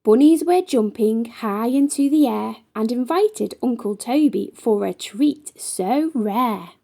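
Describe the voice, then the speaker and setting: High, mellow woman reading a children's story. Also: expressive, slow, vocal fry. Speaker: storytime reader. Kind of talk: children's picture book read-aloud in rhyme.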